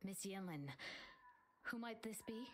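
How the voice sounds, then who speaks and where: A woman's voice: medium pitch, animated, measured pace, a little hiss or hum, lightly acted. A woman playing a desperate, devoted mother in a video game, guarded and emotional. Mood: defensive.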